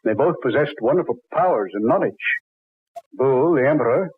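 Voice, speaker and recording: Learned middle-aged gentleman, vintage radio drama: husky, formal, deliberate, absorbed in ancient legend, on a thin tinny recording. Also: medium pitch, expressive, faint music behind. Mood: solemn, explanatory. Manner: formal, deliberate, theatrical phrasing. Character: learned older father, film man fascinated by ancient legend. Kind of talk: 1940s radio drama acting.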